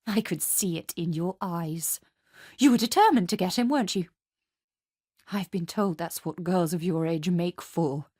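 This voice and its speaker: A woman's voice: on the low side, unhurried, mellow, husky, no echo, clean studio sound. A woman playing a jealous, faded mother in a radio drama, speaking in bitter, scornful accusations. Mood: bitter fury.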